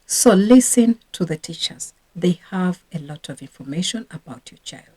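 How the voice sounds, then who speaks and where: A woman's voice: fairly low pitch, animated, moderate pace, mellow, breathy edge. A woman from Rwanda, a teacher and parenting coach, talking casually and earnestly to camera about her own parenting regrets. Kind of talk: casual advice talk to camera.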